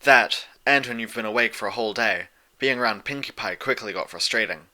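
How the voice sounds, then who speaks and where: A man's voice: lowish voice, fairly quick, bright, forceful tone. A man reading scripted fiction as an audiobook narrator.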